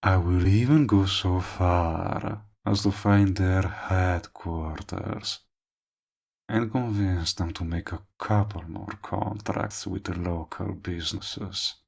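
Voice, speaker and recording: A man's voice: low voice, fairly even intonation, a little mellow, heavily acted. A man playing a smug, possessive, lovestruck villain in a romantic comedy audio roleplay, talking to the listener in a sardonic, persuasive way. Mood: earnest, wheedling. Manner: smooth-talking, sardonic, drawn-out emphatic phrasing. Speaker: voice actor.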